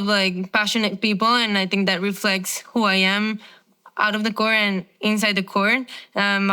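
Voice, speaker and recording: A woman's voice: mid-range voice, sharp tone, clean tone. A young woman from Venezuela, a professional tennis player, chatting casually as an interview guest with lots of filler words. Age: 20s.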